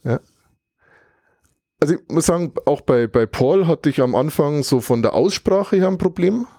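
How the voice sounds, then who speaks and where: A German man talks in a loose, conversational way. He recounts things thoughtfully, rambles a little and gropes for the right word mid-sentence. His voice is dark and mellow, and the sound is dry and close with no room around it. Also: on the high side, animated, normal speed, dry recording. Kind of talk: casual conversational recap.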